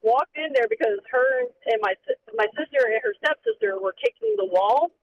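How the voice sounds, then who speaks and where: A man's voice: very high-pitched, very animated, background noise. A man on a livestream, telling a story in casual chat and laughing along with it. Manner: laughing storyteller, quick reactions. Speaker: streamer. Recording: dull lo-fi mic.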